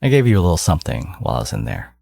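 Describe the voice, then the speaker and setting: A man playing a smug, possessive supervillain genius in an intimate audio roleplay, taunting his hero in a theatrical, teasing drawl with a gravelly, husky voice. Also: very deep voice, huge pitch swings, racing, mellow, no echo, studio quality, heavily acted. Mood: smug, caring.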